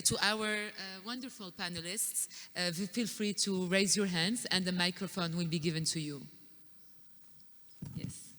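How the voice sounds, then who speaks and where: A woman's voice: medium pitch, sharp tone. A woman moderating a conference panel, speaking with frequent fillers as she hands the floor to the audience. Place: large conference hall. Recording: long hall reverb.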